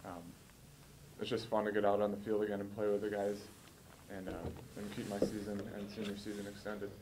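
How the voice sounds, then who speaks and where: A man in his early twenties, a college football player giving measured, matter-of-fact answers at a post-game press conference. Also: fairly low pitch, monotone, noisy background. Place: indoor press room, seated at a table.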